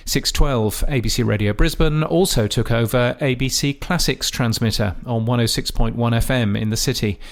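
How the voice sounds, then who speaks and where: Gravelly man giving measured commentary. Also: mid-range voice. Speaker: podcast host. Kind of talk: scripted radio-industry commentary.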